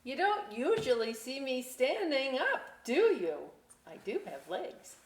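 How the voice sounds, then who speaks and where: A woman's voice: high voice, a little slow. Woman hosting children's story time, roomy distant sound. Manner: animated, folksy, talking directly to young viewers. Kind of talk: read-aloud storytelling with chatty asides for children. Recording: roomy, distant mic with faint hiss.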